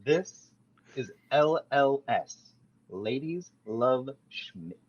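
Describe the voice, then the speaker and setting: A man's voice: medium pitch, animated, extremely slow, husky, highs cut off, exaggerated voice. A man reading the part of a defensive, self-impressed young male roommate at a sitcom table read. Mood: boastful.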